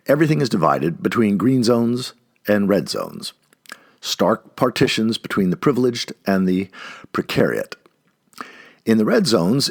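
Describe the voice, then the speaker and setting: A man's voice: low-pitched, breathy edge, no echo, studio quality. A man reading an essay aloud in an emphatic manner, occasionally stumbling and correcting himself. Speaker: audiobook-style essay reader. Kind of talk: expressive read-aloud of an essay.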